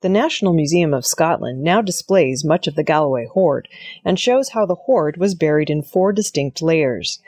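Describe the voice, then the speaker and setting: A female news reader delivers scripted narration. Her voice sits a little low and has a husky grain. The recording is very dry, without room sound. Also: animated, normal speed, a little mellow, no room sound.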